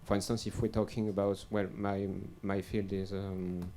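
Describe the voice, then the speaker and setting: A man's voice: low-pitched, level tone, normal speed, mellow. A young man on a robotics panel, answering hesitantly with many fillers and restarts.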